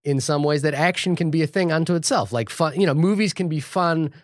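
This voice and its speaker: A man's voice: fairly high pitch, brisk, dry recording. New Zealand-accented man, dry close sound. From Fiji, then New Zealand. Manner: talkative, restarts and stumbles while building an argument. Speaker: podcast co-host, filmmaker. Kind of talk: casual chat. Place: small room.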